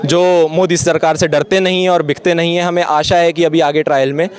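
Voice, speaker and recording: A young male student from Uttar Pradesh speaks out at an outdoor street protest into a reporter's handheld mic. His voice sits fairly high for a man, and he argues with heat, stacking up rhetorical questions. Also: fairly even intonation, brisk. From Uttar Pradesh, India. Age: young adult. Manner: impassioned, argumentative, rhetorical questions. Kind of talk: street interview.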